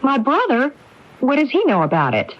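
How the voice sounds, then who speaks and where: A woman's voice: sits high, huge pitch swings, normal speed, full character voice. A woman playing an earnest, worried wife who questions insistently in a radio drama. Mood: alarmed. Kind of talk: 1980s radio drama acting. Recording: dull AM radio broadcast recording.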